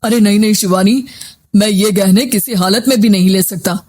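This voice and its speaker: A man's voice: sits high, steady tone, moderate pace, a little mellow. A man voicing a troubled, earnest family man in a moral story. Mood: worried. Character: troubled husband or stern father in a family story. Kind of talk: story voice acting.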